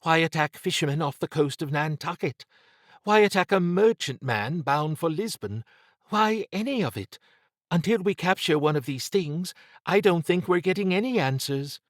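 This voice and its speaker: Male narrator as shrewd woman spy, raised pitch, wary, sardonic, brisk questioning, audiobook dialogue, dry close sound. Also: expressive, no room sound, lightly acted. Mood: frustrated, questioning.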